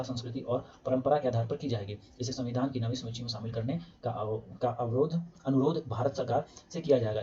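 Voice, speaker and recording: A man rattles through the news quickly in a mellow, darker voice. He reads from clippings, then adds easygoing spoken asides. The sound is dull and lo-fi. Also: medium pitch. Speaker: news explainer host. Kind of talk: casual explainer reading news aloud.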